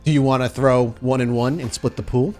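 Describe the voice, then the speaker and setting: A man narrating a tabletop horror game as storyteller, with dramatic, deliberate scene-setting. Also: medium pitch, steady tone, warm tone, soft background music.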